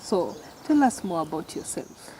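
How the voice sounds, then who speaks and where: A woman's voice: fairly low pitch, big pitch swings, moderate pace, mellow, slight background noise. A woman hosting a conversational interview show.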